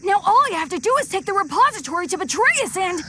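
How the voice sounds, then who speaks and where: A woman voicing a plucky, earnest young boy hero in an animated game, boyish and exclamatory. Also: very high voice, fast, background noise. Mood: eager, startled.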